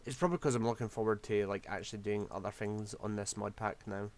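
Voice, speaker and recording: A man's voice: on the low side, steady tone, fast, darkish tone. A man, rambling through casual gameplay commentary while losing his voice. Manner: rambling, scatterbrained, self-deprecating. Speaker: game streamer.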